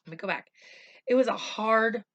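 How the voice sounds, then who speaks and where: A woman reading a storybook aloud for children, with expressive, deliberate phrasing. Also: fairly high pitch, lo-fi sound. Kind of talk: read-aloud storytelling with simple asides.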